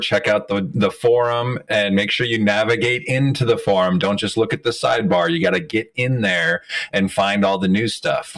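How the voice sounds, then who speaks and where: A man hosting a community video call, relaxed and joking as he wraps up the meeting. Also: lowish voice, distant mic. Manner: relaxed, joking, upbeat sign-off. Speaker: host. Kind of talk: casual chat, wrapping up a community call.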